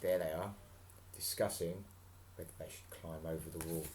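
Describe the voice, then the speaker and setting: A man's voice: low-pitched, fairly even intonation, moderate pace, darkish tone, a little hiss or hum. A man reading a storybook dramatically and breaking off for chatty, playful asides.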